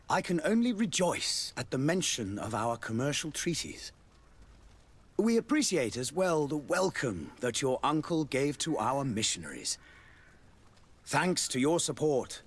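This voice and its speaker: An actor playing a suave, pompous religious dignitary and ambassador in a video game, speaking in a courtly, florid and unctuous manner. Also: male voice, medium pitch, breathy edge, full character voice. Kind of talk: video game dialogue acting.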